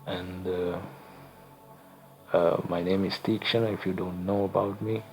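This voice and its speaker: A young man from Sri Lanka whose first language is not English, hosting a screen-recorded coding tutorial in halting speech with frequent fillers and self-corrections. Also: low-pitched, flat delivery, soft background music. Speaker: channel host, tutorial maker.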